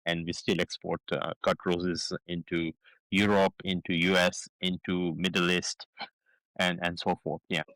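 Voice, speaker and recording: Low-voiced Kenyan man, halting. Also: little pitch movement, fairly bright tone, no echo, poor audio. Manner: hesitant, many fillers and restarts. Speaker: guest, business founder. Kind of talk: casual interview talk.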